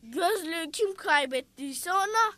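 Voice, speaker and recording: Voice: very high voice, lively intonation, measured pace. A performer voicing Mert, an eager, playful young boy in a children's cartoon, sounding self-assured. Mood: eager, confident. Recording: loud music behind.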